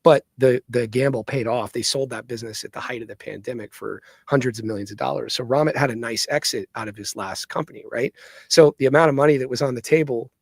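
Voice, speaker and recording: A man's voice: medium pitch, quick pace, vocal fry, no echo, studio quality. A man telling his business story conversationally as an interview guest, often checking in with the listener. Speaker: entrepreneur guest.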